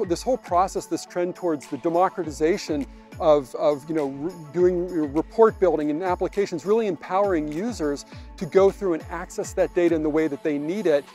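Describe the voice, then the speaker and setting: A man in his forties, a technology executive, answering questions on a walk in a park, halting and full of restarts and repeated words. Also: fairly high pitch, a little mellow. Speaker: vice president of technology. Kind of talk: conversational interview on a walk. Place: outdoors in a park.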